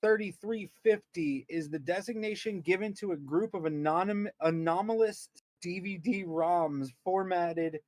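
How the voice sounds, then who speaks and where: A man's voice: high-pitched, a little slow, few pauses, darkish tone, dry recording. A man co-hosting a podcast, reading aloud with casual commentary, stumbling over words and repeating himself as he goes. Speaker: podcast co-host.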